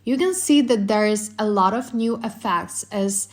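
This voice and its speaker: A woman narrates a software tutorial in a medium-pitched voice. She follows a script and explains things methodically, moving through the instructions step by step. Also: measured pace.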